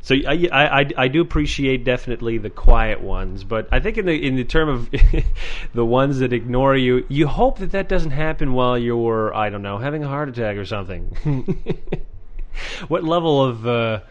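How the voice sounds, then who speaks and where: Booming, loud-talking man co-host. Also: lively intonation, normal speed, highs cut off. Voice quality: booming voice that carries. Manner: loud, joking, sarcastic. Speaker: podcast co-host. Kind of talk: casual chat.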